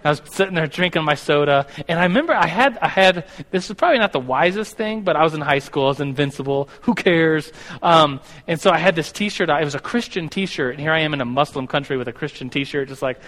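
A man's voice: a little high, animated, quick pace, fairly bright tone. A man in his thirties teaching a class about God in a loose, rambling way, correcting himself as he goes, in a classroom with a board. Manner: rambling, self-correcting, stumbles over words. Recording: long hall reverb.

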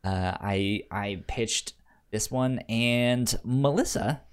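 A man's voice: mid-range voice, slow, clear, resonant tone. A man hosting a casual podcast, often stammering and repeating his words. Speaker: podcast host.

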